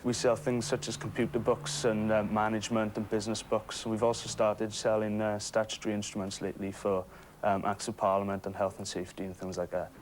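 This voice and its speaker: A man's voice: fairly low pitch, flat delivery, a little fast, slight background noise. A man with a Welsh accent giving casual answers in an interview as a shop worker.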